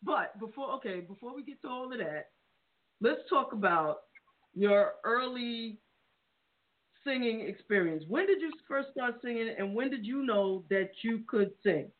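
A woman hosting a casual radio talk show, gushing and excitable as she introduces and praises her guest. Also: a little high, a little slow. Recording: tinny phone-like audio.

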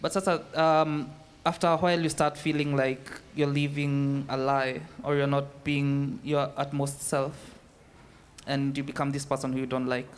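A man's voice: mid-range voice, little pitch movement, moderate pace, slight background noise. A man from rural Kenya, talking candidly and self-consciously as a guest on stage. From Murang'a, rural Kenya. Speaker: audience guest. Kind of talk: candid personal talk on stage. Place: live event with an audience.

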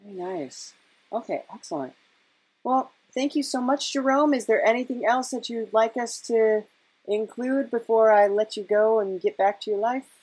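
A woman's voice: a little high, moderate pace, darkish tone, breathy edge, rough recording. A woman interviewing a podcast guest in a casual, polite and appreciative way.

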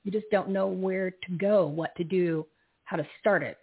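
A woman with a husky, gravelly voice, heard over a narrow, phone-like line. She hosts live radio in a loose, rambling way, losing her thread and laughing at her own slips. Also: on the low side, moderate pace. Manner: rambling, loses her train of thought, laughs at herself. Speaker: radio show host. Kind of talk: casual live radio talk.

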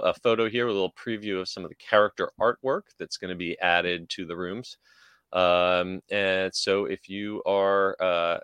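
Man, lower pitch, crisp resonant tone, informal news podcast delivery, slightly halting, very dry sound. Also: fairly bright tone, dry recording. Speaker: podcast co-host. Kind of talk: theme park news discussion, informal.

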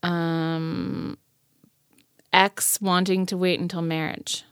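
A woman's voice: on the low side, little pitch movement, slow, clear, resonant tone, no room sound, studio quality. An American woman hosting a casual chat in a playful, frank way. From United States. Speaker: host, sexuality educator.

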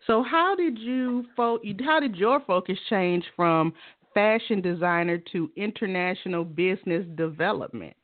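A woman's voice: mid-range voice, expressive, moderate pace, no echo, narrow, tinny audio. A woman hosting an interview, asking questions and giving short encouraging replies. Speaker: podcast host.

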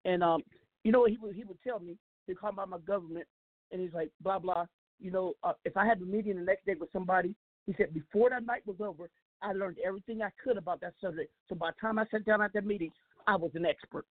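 An older man in his sixties hosting a casual talk radio chat, speaking quickly and emphatically in a fairly high voice. He tells his stories with animated energy. The sound is dry and thin, like a phone line. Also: dry recording. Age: 60s. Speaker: radio show host. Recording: dry, phone-like call audio.

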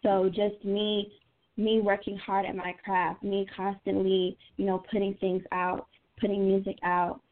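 A woman's voice: mid-range voice, level tone, measured pace. Young woman on a tinny phone line. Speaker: singer being interviewed as guest. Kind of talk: casual, conversational interview answers.

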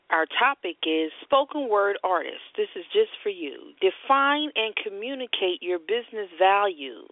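A woman's voice: medium pitch, no room sound. A woman hosting a call-in internet radio show, introducing topics and guests. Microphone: phone. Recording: dry, tinny phone line.

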